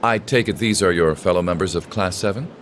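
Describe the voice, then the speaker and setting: Man acting deep-voiced suave aristocratic older brother. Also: a little fast, noisy background, natural voice. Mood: warm, teasing. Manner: courtly, eloquent, gently teasing. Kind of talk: video game cutscene acting.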